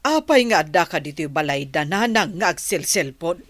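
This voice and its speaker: A woman's voice: sits low, expressive, normal speed. A woman with an Ilocano accent playing a caring but scolding village mother in a radio drama.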